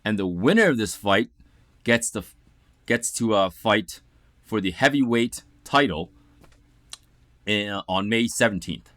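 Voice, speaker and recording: A man's voice: on the low side, a bit bright, no room sound, clean studio sound. Upbeat chatty man hosting an interview. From Ontario, Canada. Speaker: interview host. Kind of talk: casual interview chat. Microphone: video call setup.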